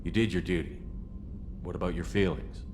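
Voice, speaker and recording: A man's voice: sits low, steady tone, moderate pace, background noise, lightly acted. A man playing a tough, cool-headed military hero in a science fiction video game, speaking in a terse, controlled way. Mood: cool, resolute. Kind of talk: video game character acting. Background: game music.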